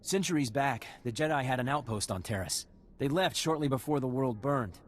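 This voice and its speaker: A man's voice: medium pitch, brisk, natural voice. Man playing an earnest male Jedi explorer. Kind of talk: video game character acting.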